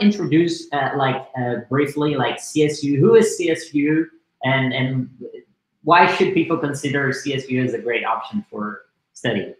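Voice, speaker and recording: Casual young host in an echoey room. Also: male voice, medium pitch, fairly bright tone. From Brazil. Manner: casual, filler-heavy, enthusiastic. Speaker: webinar host. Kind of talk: casual live-stream hosting. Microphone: video call. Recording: roomy echo on a video call.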